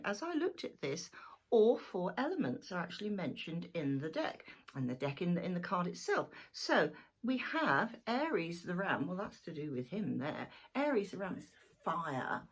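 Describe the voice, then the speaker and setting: Gravelly-voiced woman reading tarot. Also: mid-range voice, rough recording. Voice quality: gravelly, croaky throat. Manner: chatty, homely, with fillers like "you know". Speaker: tarot reader. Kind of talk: one-card tarot reading to camera.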